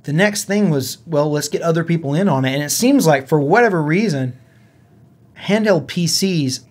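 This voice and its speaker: A man's voice: fairly high pitch, animated, moderate pace, slightly rough. A man co-hosting a casual gaming podcast, talking in an earnest, conversational way. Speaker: podcast co-host.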